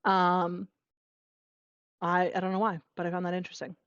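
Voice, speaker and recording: A woman's voice: lowish voice, completely flat delivery, normal speed, dry recording. A young woman from Virginia, co-hosting a podcast in casual chat, talking in a chatty, enthusiastic way with many fillers. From Virginia, United States. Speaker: podcast co-host. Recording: dull lo-fi sound.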